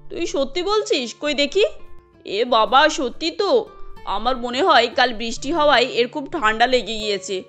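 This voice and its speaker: Woman playing a high-voiced, careworn cartoon mother. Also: animated, normal speed, a bit bright, soft background music. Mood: reassuring, concerned. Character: poor, caring, careworn mother of five daughters. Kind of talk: Bengali cartoon dialogue.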